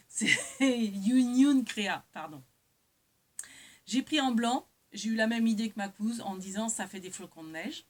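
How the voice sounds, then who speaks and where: A woman chatting casually to her viewers as a craft vlogger, hesitant and rambling, often correcting herself as she goes. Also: medium pitch. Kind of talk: casual haul chat to viewers, showing items. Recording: clear background noise with hiss.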